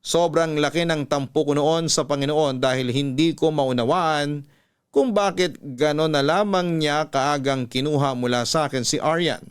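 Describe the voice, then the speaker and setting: A male radio host tells a scripted first-person story in a solemn, emotive way. His voice is smooth and clear, pitched a little high, with dramatic storytelling delivery. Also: normal speed.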